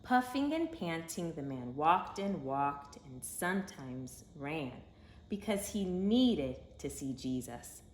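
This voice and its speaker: A woman reading a children's story aloud in an expressive, dramatic way, with emphatic phrasing for young listeners. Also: sits low, takes it slowly. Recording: echoey room with faint background noise.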